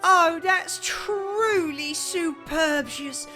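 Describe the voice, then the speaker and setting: A woman voicing an excitable little gnome who gushes with delight. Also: very high-pitched, extremely slow, soft background music, heavily acted. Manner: gushing and breathless with delight.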